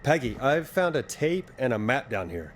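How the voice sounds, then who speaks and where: A man's voice: mid-range voice, big pitch swings, moderate pace, a little hiss or hum, lightly acted. A man playing a wry, easily exasperated late-night radio DJ in a video game. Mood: matter-of-fact.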